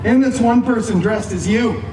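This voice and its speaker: High-pitched campy storytelling antihero. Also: male voice, normal speed, recorded from a distance. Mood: chatty, sarcastic. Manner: theatrical, mock storybook delivery with sarcastic asides. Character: campy, chatty, self-absorbed wisecracking masked antihero storyteller. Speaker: costumed theme park stage performer. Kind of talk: comic live storytelling show. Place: outdoor theme park stage, front-row recording. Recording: echoey outdoor stage, loud music, crowd.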